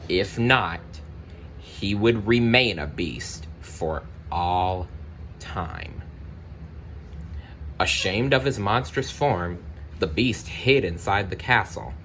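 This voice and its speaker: A man with a deep voice reads a children's storybook aloud at an unhurried, slow pace. His delivery is deliberate and expressive, like storytime for young listeners. There is noticeable noise behind him. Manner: deliberate, expressive narration with brief personal asides. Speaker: storybook reader.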